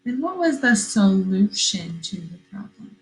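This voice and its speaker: A woman teacher reading a story aloud to young children, expressive and questioning, repeating phrases for emphasis. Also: mid-range voice, a little slow, slight background noise, low-quality recording.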